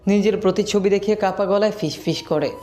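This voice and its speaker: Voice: medium pitch, a little fast, mellow. A narrator reading a scripted romantic story as the storyteller. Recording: background music under the voice.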